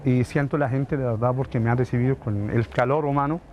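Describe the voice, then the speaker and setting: A man's voice: mid-range voice, steady tone, fairly quick, lo-fi sound. Middle aged palestinian guy, heartfelt n emphatic, bit of traffic noise. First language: Arabic. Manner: earnest, emphatic, repetitive phrasing. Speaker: immigrant interviewee. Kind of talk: heartfelt interview testimony.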